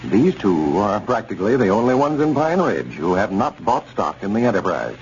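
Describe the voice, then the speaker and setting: Animated 1930s American radio announcer, man. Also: lowish voice, moderate pace. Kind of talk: 1930s radio announcing, scripted sponsor narration. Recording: dull, noisy 1930s radio recording.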